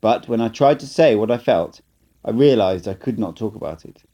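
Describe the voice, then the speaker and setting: A man reading an audiobook in first-person narration, calm and measured. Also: fairly low pitch, highs cut off. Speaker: amateur audiobook reader.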